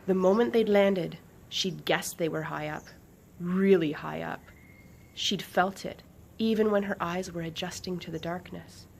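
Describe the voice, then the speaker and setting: A woman reading a children's book aloud in an expressive, dramatic narrating style, stretching some words and hushing others. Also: lowish voice, vocal fry, breathy edge. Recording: faint background noise.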